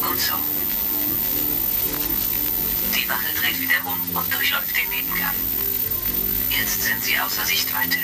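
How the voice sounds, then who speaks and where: A courteous combat robot sidekick, creaky and lowish, speaks in stilted formal phrases. He sounds a little distant on a crackly old radio-play record, with music behind him. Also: animated, normal speed. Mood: dutiful, matter-of-fact. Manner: formal, stilted, announces each emotion before speaking. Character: polite, literal-minded combat robot sidekick, announces feelings. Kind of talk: retro sci-fi radio play acting.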